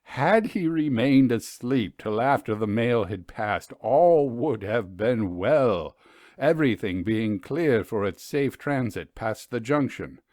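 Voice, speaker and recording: A man reading a literary ghost story aloud in a measured, deliberate storytelling manner, with dramatic pauses. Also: mid-range voice, animated, a little mellow, dry recording, studio quality. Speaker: audiobook story reader.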